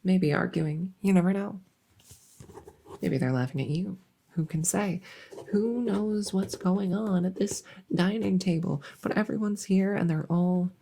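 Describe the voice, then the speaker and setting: A woman playing a silly, harmless female monster under the bed in an ASMR roleplay, soft and often whispering, rambling and repeating herself with playful earnestness. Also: lowish voice, creaky, a little hiss or hum. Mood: scheming, suggestive. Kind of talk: whispery ASMR audio roleplay, addressing the listener.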